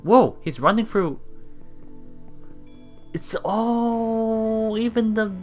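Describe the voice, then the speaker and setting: A man doing a let's play, reading visual novel text aloud haltingly, with stumbles, self-corrections and casual asides. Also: sits high, soft background music.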